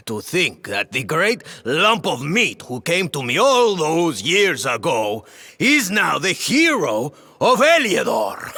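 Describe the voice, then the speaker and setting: An actor playing a proud, fiery old knight in a video game, with a gravelly, theatrical delivery. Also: male voice, wildly swooping pitch, drawn-out words, pushed and bright, long reverb tail, full character voice. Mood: jovial, fond. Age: older. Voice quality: gravelly, husky. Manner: booming, chuckling, grandly theatrical. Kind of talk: video game character acting.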